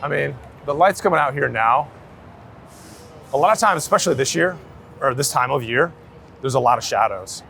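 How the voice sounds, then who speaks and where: A man's voice: mid-range voice, animated, normal speed, vocal fry, pauses often, bright, forceful tone. A man talking casually and informally as a street photographer being interviewed, with slangy, sweary phrasing. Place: outdoors on a city street. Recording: outdoor city street noise, traffic.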